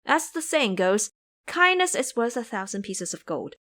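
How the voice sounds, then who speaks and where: A woman voicing a gentle, pious male master monk who pleads earnestly. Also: a little high, very animated, normal speed. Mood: pleading.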